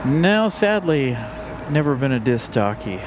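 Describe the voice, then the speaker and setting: A man hosting a live plane spotting stream, chatting casually with his viewers in a relaxed way. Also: mid-range voice, measured pace. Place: outdoors near an airport. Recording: loud outdoor noise. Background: loud traffic and aircraft noise.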